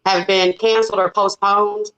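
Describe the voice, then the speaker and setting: A woman's voice: mid-range voice, flat delivery, moderate pace, a bit bright, low-quality recording. A woman in her forties from Detroit, a singer chatting as a guest over a video call. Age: 40s. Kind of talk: casual video call interview.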